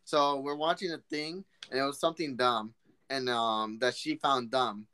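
Excitable young man, bright voice. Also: medium pitch, moderate pace, clean tone. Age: 20s. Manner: rambling, restarts a lot, excitable. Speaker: podcast co-host. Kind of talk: casual chat.

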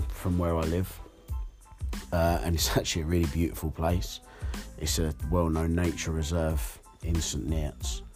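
A hoarse young man hosting his podcast alone, talking candidly and rambling with fillers. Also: low-pitched, darkish tone. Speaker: podcast host. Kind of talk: solo podcast monologue.

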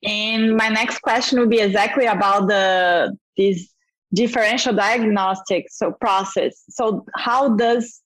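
A woman's voice: medium pitch, measured pace, no room sound, poor audio. A woman hosting an interview in English, which is not her first language, asking curious follow-up questions. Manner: curious, laughs at herself over hard words. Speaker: podcast host interviewer.

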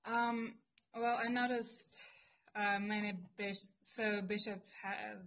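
Halting woman. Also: mid-range voice, little pitch movement, a bit bright, low-quality recording. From Ukraine. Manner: halting, searches for words. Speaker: student. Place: classroom.

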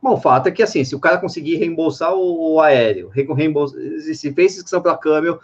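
A man's voice: fairly high pitch, brisk. A man, co-hosting a casual chat, easygoing and hedging. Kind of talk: casual chat about running. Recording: muffled lo-fi audio.

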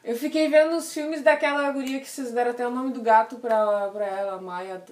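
A woman's voice: fairly high pitch, steady tone, a little fast, distant mic. Chatty woman. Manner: slangy, rambling, laughs often. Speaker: podcast co-host. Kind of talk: casual chat. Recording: roomy, off-mic.

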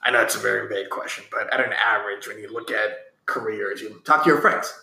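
A man's voice: fairly low pitch, fast talker, vocal fry, sharp tone. A man hosting a casual interview, asking long, loosely worded, rambling questions. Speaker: interviewer, host. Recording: echoey room.

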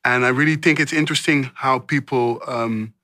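Bright-voiced man asking reflective questions. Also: medium pitch, steady tone, normal speed, dry recording, studio quality. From Amsterdam, Netherlands. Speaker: host. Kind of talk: casual interview conversation.

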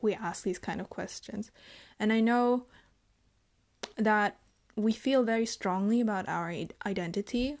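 Slow, thoughtful young woman vlogger. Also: medium pitch, darkish tone. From Germany. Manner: thoughtful, hesitant, halting phrasing. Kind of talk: reflective personal monologue.

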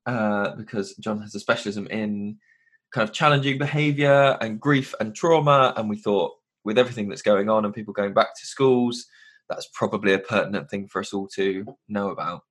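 A man's voice: fairly low pitch, moderate pace, breathy edge. A man hosting a podcast, chatting casually and rambling a little. His nose is stuffed up from hay fever. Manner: chatty, rambling, self-correcting. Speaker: podcast host.

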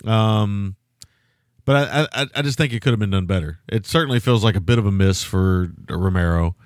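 A man co-hosting a film podcast, talking in a loose, rambling way with lots of fillers and restarts, and a loud voice. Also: fairly low pitch, moderate pace, no room sound, studio quality. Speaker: podcast co-host. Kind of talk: casual film-review chat.

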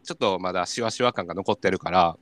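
A young man with a Kansai accent hosting a radio show, telling casual comic stories in an animated way with acted-out reactions. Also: deep voice, quick pace, dry recording. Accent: Kansai Japanese. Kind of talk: casual comic storytelling chat.

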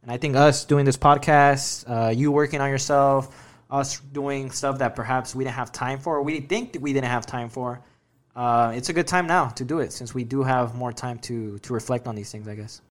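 A man co-hosting a podcast, asking rambling questions in a relaxed, casual way. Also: medium pitch, moderate pace. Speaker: podcast co-host.

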